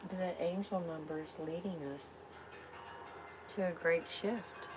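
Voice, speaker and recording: A woman hosting a live tarot reading, giving spiritual guidance in a soft, soothing way. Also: lowish voice, fairly even intonation, background noise. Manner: soft, unhurried, soothing affirmations.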